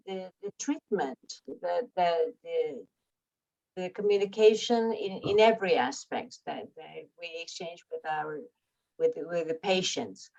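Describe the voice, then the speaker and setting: A woman from Argentina describes her research on a video panel, speaking carefully and pausing to find words. Her audio sounds dull and lo-fi. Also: medium pitch, darkish tone. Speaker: linguist. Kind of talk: video call introduction.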